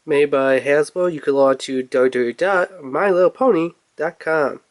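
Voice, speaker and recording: Higher-pitched man doing casual unboxing commentary. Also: normal speed, no echo. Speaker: toy unboxing reviewer. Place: indoors at a table.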